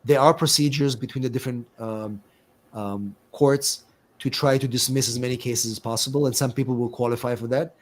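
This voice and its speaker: A middle-aged man with an Israeli accent answers viewers' questions on a live stream. He speaks in a relaxed, conversational way, often pausing with fillers and restarting sentences. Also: mid-range voice. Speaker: immigration attorney, live stream host.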